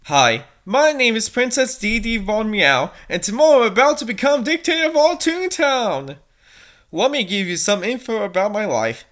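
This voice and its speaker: An edgy teenage cartoon cat princess acted out by a woman reading fanfiction, self-important and overdramatic. The voice is high and swings up and down with mock-serious drama. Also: very animated, normal speed. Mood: self-important, dramatic. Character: melodramatic, edgy fourteen-year-old cartoon cat princess and dictator-to-be.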